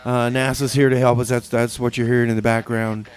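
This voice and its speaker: A man hosts a late-week community radio show in a loose, rambling way. His voice sits in an ordinary middle register. He pauses often with fillers as he introduces songs. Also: mid-range voice, little pitch movement. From New Mexico, USA. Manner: rambling, easygoing, halting with fillers. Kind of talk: casual radio chat between songs.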